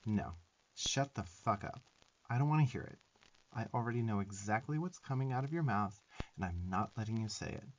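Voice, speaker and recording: A man's voice: lowish voice, animated, fairly quick, highs cut off. A man voicing a sarcastic, bossy but affectionate little demon twink in a profane, emphatic ASMR roleplay. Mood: exasperated scolding. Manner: sassy, scolding, profane, emphatic.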